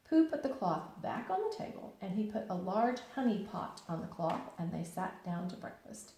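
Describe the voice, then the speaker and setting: A woman reads a children's story aloud like a teacher to a class. Her mid-pitched voice moves up and down a lot, with simple, clear phrasing for young listeners. The room is very reverberant. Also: big pitch swings, moderate pace, warm tone, a little hiss or hum. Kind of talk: expressive children's storybook narration. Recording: very echoey room.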